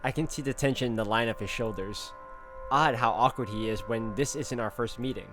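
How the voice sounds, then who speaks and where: A man's voice: medium pitch, fairly quick. Man narrating a game over music. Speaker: gaming video host. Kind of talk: game walkthrough, reading story text aloud with casual comments.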